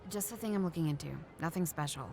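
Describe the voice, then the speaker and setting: A woman's voice: on the low side, fast, a little mellow, slight background noise, natural voice. An actress playing a guarded, inquisitive young woman detective in a video game, dry and matter-of-fact. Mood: probing, dry. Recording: music behind the voice.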